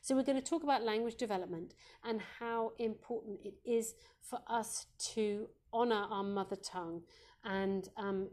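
A woman with a croaky voice, a Montessori educator hosting a live parenting advice Q&A, gentle and reassuring, with frequent ums. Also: mid-range voice.

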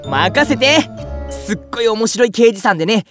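A man acting an ordinary, excitable young big-brother type in a Japanese audio drama, chatty and comically flustered, with first-person narration. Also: high-pitched, normal speed, recorded from a distance, over music. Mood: upbeat reassuring.

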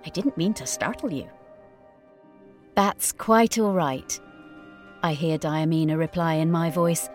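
Mysterious storyteller, lowish voice, measured slow audiobook delivery, hushed, music behind. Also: female voice. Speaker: story narrator. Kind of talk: first-person dramatic audiobook narration. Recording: music bed under narration.

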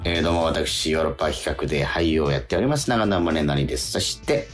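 A man's voice: very deep voice, expressive, fast talker, faint music behind. A man hosting a radio talk show in a studio, chatting casually with many fillers and easy nods.

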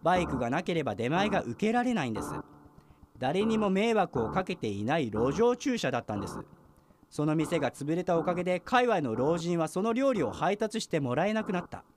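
An actor playing an earnest, easily exasperated junior police officer who speaks in polite, formal explanations in a radio drama. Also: male voice, a little high, big pitch swings, brisk, noisy background. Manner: polite formal explaining with sudden blunt outbursts. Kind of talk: Japanese radio drama dialogue.

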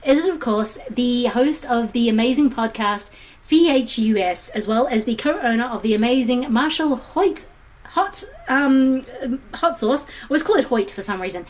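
Woman, film podcast host, high register, casual chat, slightly crackly sore-throat voice. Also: animated, moderate pace. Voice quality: slightly croaky.

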